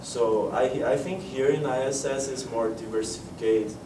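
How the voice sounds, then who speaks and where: A man's voice: mid-range voice, fairly even intonation, unhurried, distant mic. A man from Brazil, a language student, talking hesitantly and restarting often. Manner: hesitant, many fillers and restarts. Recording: echoey, off-mic, noisy room.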